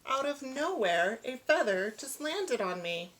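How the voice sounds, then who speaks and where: A woman reading a children's picture book aloud, giving the rhyming verse an expressive, rhythmic delivery. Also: high voice, a little slow, poor audio. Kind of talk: children's storybook read-aloud.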